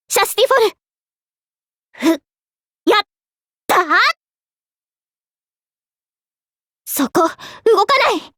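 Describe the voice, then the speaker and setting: A young heroine mage from a Japanese fantasy game. Her voice is high, clear and youthful, with an earnest, courteous manner. The recording is close and dry, free of room sound. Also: no room sound. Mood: spirited battle cries. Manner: polite, earnest. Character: young girl mage, tomboyish, modest, earnest, later a solemn king. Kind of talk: Japanese game character voice lines.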